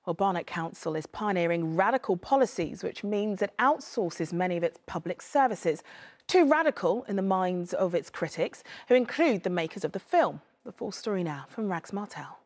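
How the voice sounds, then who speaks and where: A woman's voice: fairly low pitch, lively intonation, moderate pace, darkish tone. A woman with a British accent presenting scripted news.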